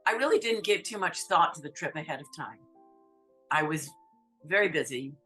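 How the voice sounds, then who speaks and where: A woman photographer from New Hampshire speaking candidly, a little halting, about her experience. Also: deep voice, expressive, fairly bright tone, breathy edge, faint music behind. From New Hampshire, USA. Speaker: interviewee, photographer. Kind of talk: reflective testimony.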